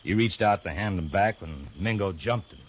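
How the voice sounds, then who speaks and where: A man's voice: low voice, lively intonation, normal speed, slightly rough, muffled recording. An actor playing a nervous, defensive saloon henchman in a 1950s radio western. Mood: confessing. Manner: defensive, blustering then confessing. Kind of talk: 1950s radio drama acting. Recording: faint hiss of an old radio recording.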